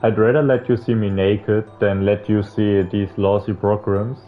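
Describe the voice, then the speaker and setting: Young guy streaming a visual novel, lowish voice, jokey n sweary while reading, tinny narrow audio w music under it. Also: flat delivery, normal speed. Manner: irreverent, slangy, swearing. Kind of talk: reading game text aloud with casual joking commentary. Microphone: streamer microphone with face cam. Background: game music.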